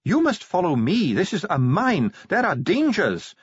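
A man acts out a proud male dwarf official from a fantasy audiobook. His voice is lifted and husky, and he speaks in clipped, formal commands with stiff self-importance. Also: high-pitched, wildly swooping pitch, a little slow, no room sound, dull audio, pitched above the performer's own voice, heavily acted. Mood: stern, urgent.

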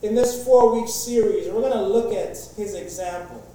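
A man's voice: high-pitched, moderate pace, mellow, slight background noise. A man preaching a sermon to a congregation in a casual, chatty way, with many fillers and restarts. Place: large echoey church hall. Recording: echoey church hall from far off.

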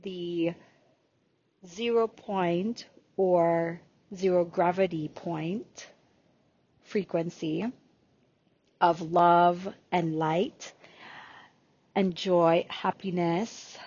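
A woman in her forties, born in Jamaica, speaks with a slight Jamaican accent and an unhurried podcast delivery. She takes her time, drawing out words as she lists things, at a very slow pace. The recording sounds dull and lo-fi. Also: on the low side, fairly even intonation, a little mellow. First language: Jamaican Patois. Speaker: podcast host and spiritual healer. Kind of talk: unscripted podcast monologue.

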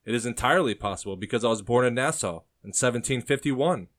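A man voicing a calm, firm young man who speaks plainly and truthfully. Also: medium pitch, quick pace, pitched above the performer's own voice, natural voice. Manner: firm, unfazed.

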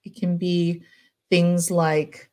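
A middle-aged woman psychologist speaking as a podcast guest, gentle and chatty, with frequent fillers and easy laughter. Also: lowish voice, little pitch movement, drawn-out words. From United States. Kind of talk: casual podcast interview.

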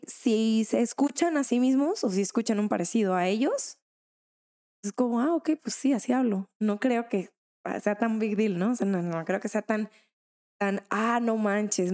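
Young female co-host, soft dark tone, casual. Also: mid-range voice, normal speed. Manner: casual, slangy, hesitant. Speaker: podcast co-host. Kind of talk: casual chat.

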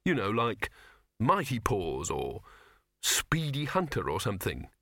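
A man's voice: on the low side, huge pitch swings, a little slow, vocal fry, a bit bright, dry recording, clean studio sound, full character voice. A man voicing an earnest, awkward young man, polite, hesitant and curious, in a storybook reading.

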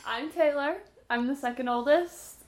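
Twenties woman guest, slightly high, relaxed and brief. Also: moderate pace. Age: 20s. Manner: brief, easygoing answers. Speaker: podcast guest. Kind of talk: casual chat.

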